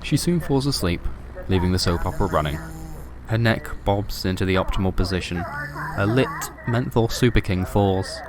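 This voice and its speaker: Deep-voiced man narrating horror fiction. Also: lively intonation, moderate pace, a crowd in the background. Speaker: narrator and host of a fiction podcast. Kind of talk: scripted horror story narration. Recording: music bed under the voice. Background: music.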